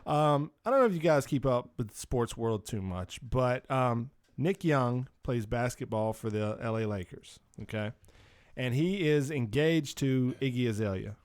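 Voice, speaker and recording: A man's voice: mid-range voice, unhurried, darkish tone, clear, resonant tone, no room sound, clean studio sound. A man hosting a casual talk show, speaking informally and opinionatedly, with swearing and plenty of slangy asides. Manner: informal, swearing, opinionated, uses "dude" often. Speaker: podcast host.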